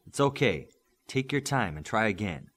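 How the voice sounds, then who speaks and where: Man voicing a male hero mentor, low pitch, patient, firm, instructive, expressive audiobook delivery. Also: normal speed. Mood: patient, encouraging. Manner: instructive, steady coaching.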